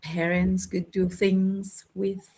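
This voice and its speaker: A woman's voice: mid-range voice, flat delivery, slow pace, warm tone, husky. A woman from Indonesia, a preschool teacher and English learner, chatting on a video call in a thoughtful way and laughing easily. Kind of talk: casual video call chat. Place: home in the Netherlands.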